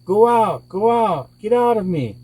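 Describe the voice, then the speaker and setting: Here is a huge storybook monster acted out by a man, pained and panicky. The voice jumps high and loud as it pleads, repeating itself urgently. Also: very animated, a little slow, breathy edge, exaggerated voice. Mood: pained pleading. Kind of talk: oral folk tale character voice.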